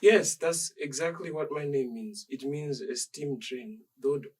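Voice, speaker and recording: A young man from Zambia, a dancer being interviewed, speaking in a measured, reflective and polite way. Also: on the high side, husky, distant mic. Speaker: dancer guest. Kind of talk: interview conversation.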